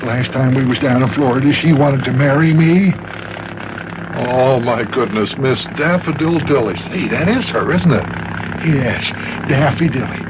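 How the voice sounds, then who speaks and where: A man voicing a blustery, easily flustered old mayor in a children's radio serial, exclaiming and repeating himself in a folksy way. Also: fairly high pitch. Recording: dull, noisy old radio recording.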